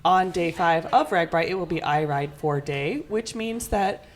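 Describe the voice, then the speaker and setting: A woman's voice: fairly low pitch, unhurried, slight background noise. A woman co-hosting a cycling podcast, upbeat and informative in casual chat. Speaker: podcast co-host. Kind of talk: casual chat with scripted intro.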